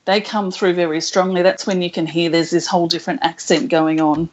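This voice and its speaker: Soft-spoken woman on a muffled call. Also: on the low side, flat delivery. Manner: slow, thoughtful, laughs softly. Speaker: guest channeler. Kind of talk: casual interview chat. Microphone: internet call.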